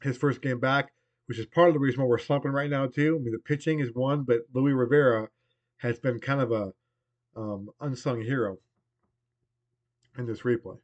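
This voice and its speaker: A man in his forties with a cold, hoarse and losing his voice, rambling casually through a baseball game replay as host. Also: mid-range voice, fairly even intonation, normal speed, pauses often, dull audio. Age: 40s. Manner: rambling, conversational, trailing off.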